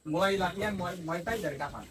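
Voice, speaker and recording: A man's voice: high voice, fast talker, recorded from a distance, background noise. A man interviewing casually, affirming answers in an encouraging way.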